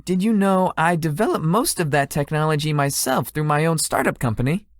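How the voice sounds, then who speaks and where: Man playing a gracious, animated young tech guru. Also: fairly high pitch, no echo, studio quality. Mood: courteous. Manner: measured, polite, formal. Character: gracious young male tech guru, celebrity conference speaker. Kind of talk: visual novel character acting.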